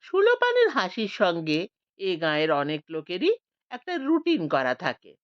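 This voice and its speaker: Woman reading a story out loud real slow, voice swoops way up and down, dull dry sound. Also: on the high side, no echo. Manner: expressive storyteller voicing many village characters. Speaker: narrator. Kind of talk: scripted audiobook narration.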